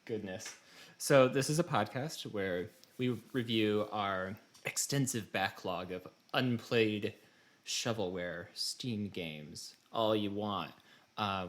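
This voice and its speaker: A man chatting casually as the co-host of a podcast. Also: fairly low pitch.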